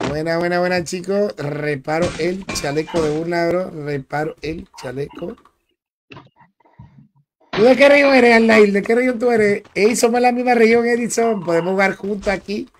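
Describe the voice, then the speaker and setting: A man with a Dominican accent chats through a live gaming stream, his voice sitting notably high. He stays upbeat, greets people by name and repeats phrases in quick bursts, and loud background noise runs under everything he says. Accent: Dominican Spanish. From Dominican Republic. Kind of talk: casual live-stream gameplay chat.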